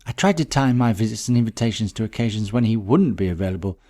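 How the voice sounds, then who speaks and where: Man putting on an animated, distressed middle-class woman. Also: on the low side, quick pace, close to an everyday voice. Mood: scornful. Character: distressed, bitter middle-class woman recounting a friend's death.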